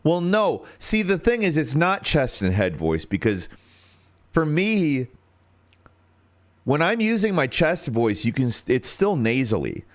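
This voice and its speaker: A man with a deep radio-style voice and an American accent, hosting a casual livestream and thinking out loud as he searches for words. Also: big pitch swings, measured pace. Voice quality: turns nasal when singing high.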